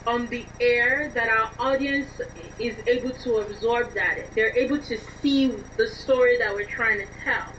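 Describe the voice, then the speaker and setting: A woman being interviewed as a writer and musician, answering conversationally in an expressive, emphatic way. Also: fairly high pitch, normal speed. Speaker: interviewed playwright and songwriter. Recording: dull, distant mic with faint noise.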